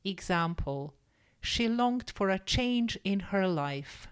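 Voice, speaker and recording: A woman reads as a teacher would for language learners. Her pace is slow and her pitch sits in the middle for a woman. She explains carefully, in a measured, scripted narration style. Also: expressive, smooth. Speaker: English teacher and story reader. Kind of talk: scripted story narration and vocabulary lesson.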